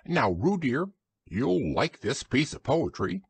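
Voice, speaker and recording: A man voicing a bossy, scheming male rabbit from a storybook, brisk and instructing in manner. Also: medium pitch, very animated, breathy edge, dry recording, pitched above the performer's own voice, heavily acted. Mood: urging.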